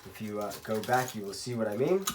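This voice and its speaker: Guy from spain doing a casual how-to, kinda rambly, clears his throat, clicky clattery workshop noise behind him. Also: on the low side, lively intonation, fairly quick. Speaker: racquet stringer giving a tutorial. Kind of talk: casual hands-on tutorial talk.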